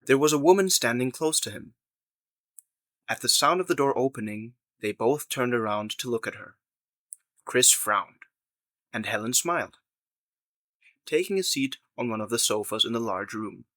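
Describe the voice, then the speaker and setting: A man's voice: mid-range voice, animated, moderate pace, pauses often, a bit bright, dry recording. A man reading scripted narration for an audio drama.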